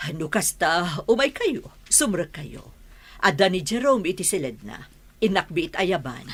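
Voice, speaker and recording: A woman's voice: on the low side, sing-song, unhurried, a bit bright, breathy edge, heavily acted. A woman acting a welcoming, easygoing middle-aged mother in an amateur audio drama.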